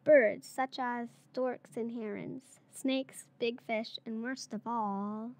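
A woman's voice: on the high side, steady tone, slow pace, darkish tone. A girl of about eight or nine, a third-grade pupil, reading a picture book aloud. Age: child, around eight or nine.

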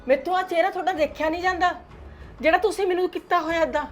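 Actress as an older grandmother, tender and pleading, voice pitched a touch high. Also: moderate pace, dark tone, faint music behind, close to an everyday voice. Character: remorseful loving grandmother, older woman. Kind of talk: family drama acting.

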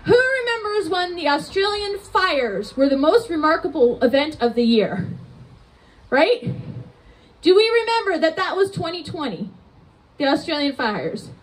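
A woman's voice: very high voice, a little slow, rough recording. A woman, preaching a conversational sermon outdoors at a church entrance into a mic on a stand, often asking the congregation rhetorical questions. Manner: animated hand gestures while preaching. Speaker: pastor.

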